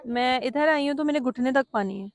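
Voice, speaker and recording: A woman's voice: high voice, brisk, no room sound, clean studio sound. A woman travel vlogger chatting casually outdoors by a lake. She mixes English phrases into her talk and films on a handheld phone. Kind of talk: casual travel vlog narration.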